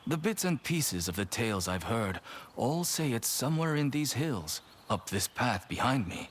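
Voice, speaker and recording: A man playing a wandering storyteller in a video game, talking in a measured, theatrical, tale-telling way. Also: mid-range voice, sing-song. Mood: intrigued, explanatory. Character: wandering male storyteller seeking a legendary sword.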